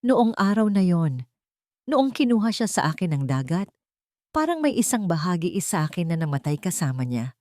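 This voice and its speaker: A wounded, grieving man in a romance audio story, voiced by a woman narrator. The voice is low and quiet, with a confessional manner. The sound is dry. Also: sing-song, normal speed, no echo. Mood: sorrowful, tender.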